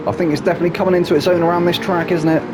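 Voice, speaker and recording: A man calls a sim racing league with a snuffly, stuffed-up voice, pitched fairly high for a man. He chats along casually and keeps tacking on little questions like "isn't he?". Loud car engine noise runs under everything he says. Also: level tone, rapid-fire. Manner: chatty, asks tag questions, drops low for asides. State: snuffly from hay fever. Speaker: race commentator. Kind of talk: live sim racing commentary.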